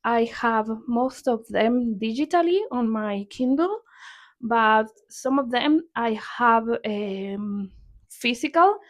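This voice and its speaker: A woman's voice: fairly high pitch, lively intonation. A young woman from Argentina with an Argentine accent, a native Spanish speaker chatting casually as a book vlogger and often restarting her sentences. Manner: unhurried, chatty, restarts and corrects herself often. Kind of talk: casual chat.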